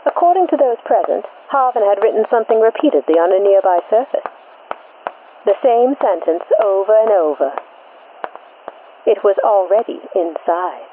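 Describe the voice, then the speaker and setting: A woman narrating a scripted mystery in 1940s radio style, measured and deliberate, through an old-time radio filter. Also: medium pitch, expressive. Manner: measured, deliberate, short suspenseful phrases. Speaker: host and narrator of a mystery show. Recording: tinny band-limited vintage radio, static noise.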